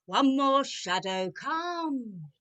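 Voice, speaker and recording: A woman playing a solemn, relentless ghostly spirit in a radio-style drama over a video call, deliberate, dramatic and commanding. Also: high voice, sing-song, fairly bright tone, heavily acted. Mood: grave, insistent. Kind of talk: rehearsed radio-script drama reading.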